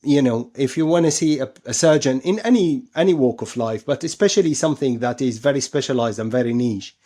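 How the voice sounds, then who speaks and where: Man, mid-pitched voice, surgeon guest, explanatory interview talk, repeats and rephrases for emphasis. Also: fairly quick, dark tone.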